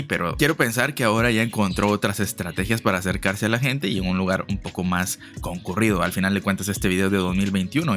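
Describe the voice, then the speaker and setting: A young host talks over a video in a low, easy voice, circling around his thoughts and correcting himself as he goes. Soft music plays faintly underneath. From Guatemala. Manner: chatty, musing, self-correcting. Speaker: reaction channel host. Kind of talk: casual reaction commentary.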